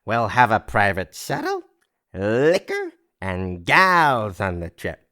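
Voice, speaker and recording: An actor playing a boozy, chummy, boastful socialite in an old-movie style audio drama, rambling and slangy. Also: male voice, on the low side, wildly swooping pitch, slow pace, bright, forceful tone, full character voice.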